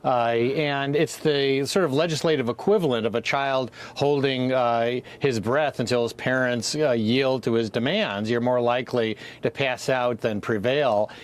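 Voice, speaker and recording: A man in a news segment, laying out a political standoff in an explanatory, deliberate way. His voice sits in an ordinary middle register. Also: mid-range voice. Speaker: political analyst. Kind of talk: news commentary.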